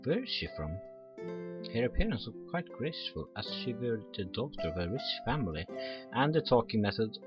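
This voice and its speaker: A man's voice: mid-range voice, big pitch swings, brisk, muffled recording. A man playing a game on his channel, reading the story text aloud and adding casual asides. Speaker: let's player. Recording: roomy sound with faint music behind.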